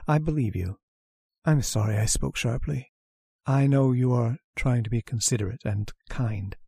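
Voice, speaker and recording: Woman acting a cold religious lodger for a radio play: deep, breathy, dry, severe. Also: moderate pace, dark tone, no room sound, full character voice. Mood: cold, restrained. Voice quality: husky, clipped and severe. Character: stern pious male lodger, cold and strange. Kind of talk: old-time radio drama acting.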